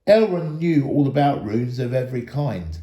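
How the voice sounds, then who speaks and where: A man reading a children's story aloud in an expressive storytelling style. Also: medium pitch, moderate pace, echoey room. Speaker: storybook reader, school staff member.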